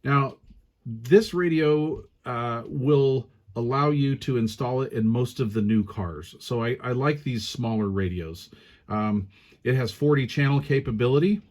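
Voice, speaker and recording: A man with a medium-pitched voice gives a casual product walkthrough. He explains things step by step in plain, informal language. His delivery is a little hesitant, as in an unscripted hands-on review. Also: a little slow, darkish tone. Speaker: radio gear reviewer.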